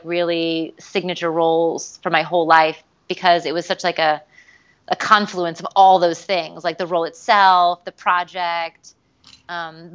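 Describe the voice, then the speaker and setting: A woman's voice: fairly low pitch, smooth, muffled recording. A woman, a voice actress, telling stories in a casual interview, chatty and full of "like" fillers. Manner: chatty, many "like" fillers, animated re-enacted quotes.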